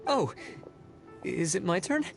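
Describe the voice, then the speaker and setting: A timid, halting civil servant. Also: male voice, high-pitched, sing-song, creaky, music in the background, exaggerated voice. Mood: nervous. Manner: halting, hesitant, inarticulate, over-precise. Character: timid, awkward, meticulous male civil servant. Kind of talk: video game character dialogue.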